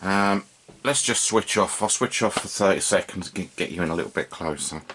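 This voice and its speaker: Male hobby host, low register, casual and understated, thinking aloud at a workbench, faint noise behind. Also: expressive, a little fast, fairly bright tone. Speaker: host of a hobby radio repair video. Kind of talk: casual unscripted demonstration commentary. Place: indoors at a workbench.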